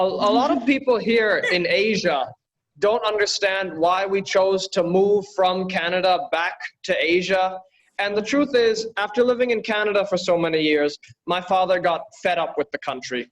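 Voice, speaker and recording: A high-voiced man performs stand-up from afar over a video call. He delivers his jokes in a dry, deadpan way. He grew up in Bangladesh. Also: low-quality recording. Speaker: stand-up comedian.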